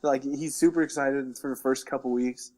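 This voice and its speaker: Man, average pitch, casual podcast co-host, informal, tentative, thinking aloud. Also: steady tone, normal speed, mellow. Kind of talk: casual chat about games and films.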